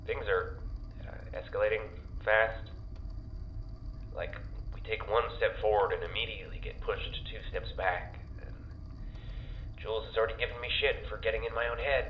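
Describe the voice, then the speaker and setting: A man's voice: on the low side, lightly acted. An actor playing an anxious, overwhelmed young man who stammers and swears casually. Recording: muffled echoey room with faint hiss.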